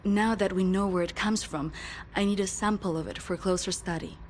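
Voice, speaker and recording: An actress playing a composed resistance researcher in a game cutscene, speaking in a measured, inquisitive way. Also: female voice, mid-range voice, steady tone, a little hiss or hum, natural voice. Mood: focused.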